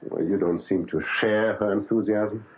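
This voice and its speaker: A man's voice: on the low side, steady tone, moderate pace, lightly acted. An actor playing a jovial, reassuring surgeon father in a 1970s radio drama, hearty in manner. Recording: tinny old radio broadcast with faint music.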